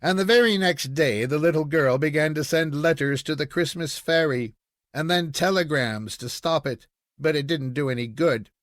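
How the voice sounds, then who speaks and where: A man reading a children's story aloud in an expressive, measured storytelling manner. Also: a little high, dry recording, studio quality. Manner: measured storytelling with acted dialogue. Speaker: audiobook reader.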